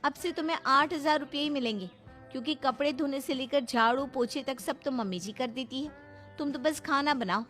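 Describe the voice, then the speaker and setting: A woman's voice: sits high, normal speed, fairly bright tone, soft background music, pitched above the performer's own voice. A woman voicing a bossy, snobbish young modern daughter-in-law in a cartoon, curt and commanding.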